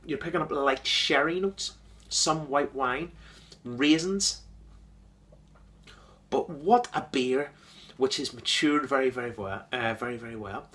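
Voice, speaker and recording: A man's voice: medium pitch, measured pace, pauses often, a bit bright. Chatty man reviewing a beer. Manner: enthusiastic, repeats words, many fillers. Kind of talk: casual beer review vlog.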